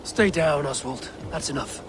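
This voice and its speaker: Guy acting a brash viking warrior from a game, kinda high for a man, teasing and goading but honorable, music underneath. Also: moderate pace, background noise, close to an everyday voice. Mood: firm, encouraging. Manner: bold, goading, declamatory. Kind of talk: video game cutscene acting.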